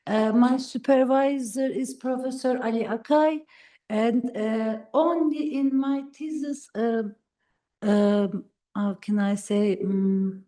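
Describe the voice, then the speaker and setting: A woman giving an academic lecture, speaking haltingly and pausing often to search for words. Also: fairly high pitch, fairly even intonation, warm tone. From Turkey. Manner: halting, hesitant, many pauses searching for words. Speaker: guest lecturer. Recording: roomy lecture hall.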